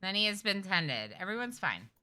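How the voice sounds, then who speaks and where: A woman streaming a game live, chatty and excitable, talking to her characters as she plays at home. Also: medium pitch, normal speed. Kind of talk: live gameplay commentary.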